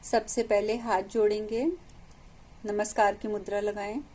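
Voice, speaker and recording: Slow-paced Indian woman guiding meditation. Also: mid-range voice, slight background noise. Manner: calm, instructive, with long pauses between phrases. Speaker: meditation teacher. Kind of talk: guided meditation instruction with short chants.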